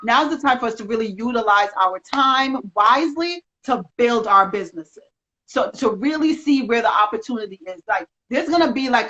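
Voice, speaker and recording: A woman giving energetic, rambling encouragement in a casual live video chat, speaking as a magazine representative. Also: a little high. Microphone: video call. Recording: dull lo-fi sound.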